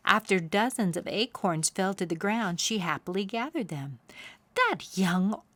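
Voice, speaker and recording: A woman hosting a read-aloud, telling a children's picture-book story with expressive, deliberate phrasing for young listeners. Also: mid-range voice, dry recording.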